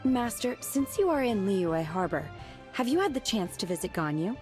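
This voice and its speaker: A woman voicing a calm, blunt young disciple in a video game, speaking in a deadpan, plain way. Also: mid-range voice, moderate pace, faint music behind, close to an everyday voice.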